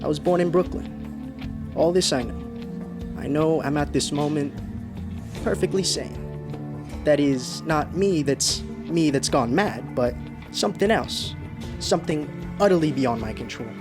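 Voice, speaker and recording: A man acting a haunted man in his thirties who recounts his road trip in a radio drama, urgent and halting. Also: a little high, music in the background, natural voice. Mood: haunted, desperate.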